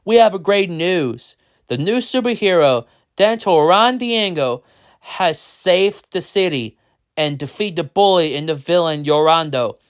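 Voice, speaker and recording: A storyteller as a high-pitched upbeat news reporter. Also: lively intonation, unhurried, natural voice. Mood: proud announcement.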